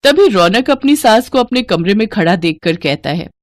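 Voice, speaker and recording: A woman's voice: medium pitch, animated, normal speed, no echo, clean studio sound. A woman storyteller reading a scripted narration of a moral tale.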